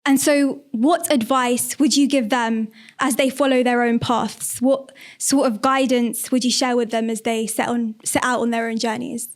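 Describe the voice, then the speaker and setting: A woman interviews a guest on a podcast. She speaks in a measured, encouraging way and sets up her questions at length. Her voice sits a little on the high side. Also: steady tone. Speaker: host, interviewer.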